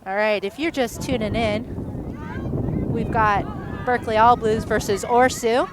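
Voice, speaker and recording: Female rugby commentator, enthusiastic, bright-pitched, noisy outdoor booth. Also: fairly even intonation. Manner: chatty, enthusiastic, quick to laugh. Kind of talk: live sports commentary.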